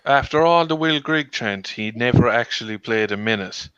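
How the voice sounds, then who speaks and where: A man's voice: medium pitch, normal speed, fairly bright tone, clean tone, no room sound, highs cut off. A man from Ireland recalling past tournaments in a matter-of-fact way. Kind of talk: casual football chat.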